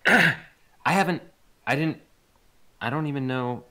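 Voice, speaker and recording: A man's voice: fairly high pitch, takes it slowly, bright, forceful tone. A man being interviewed as a voice actor, chatting casually and thinking aloud in a hesitant, rambling way. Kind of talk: casual unscripted interview chat.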